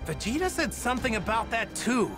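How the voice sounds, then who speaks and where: An actor voicing an earnest, good-natured, plain-spoken martial-arts warrior in an anime game. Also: male voice, very high voice, sing-song, fairly quick, over music, heavily acted. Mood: calm, serious. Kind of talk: anime game dub acting.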